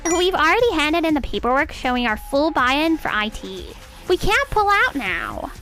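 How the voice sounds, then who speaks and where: A woman's voice: high voice, big pitch swings, normal speed, sharp tone, heavily acted. A woman acting a flustered, defensive businesswoman in an anime-style manga dub. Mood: panicked. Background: music.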